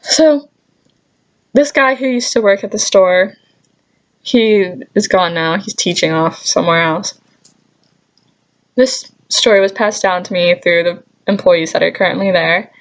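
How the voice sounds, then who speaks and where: A young adult woman telling casual, chatty stories in an animated, exasperated way, with sarcastic asides and mild swearing. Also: medium pitch, measured pace, long pauses, rough recording. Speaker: storytelling vlogger. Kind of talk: casual anecdote vlog, chatty.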